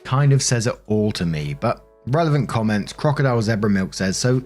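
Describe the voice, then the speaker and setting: A man's voice: lowish voice, expressive, brisk, darkish tone, soft background music. A man narrating online stories and commenting on them in a conversational, opinionated way. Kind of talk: reading stories aloud with casual commentary.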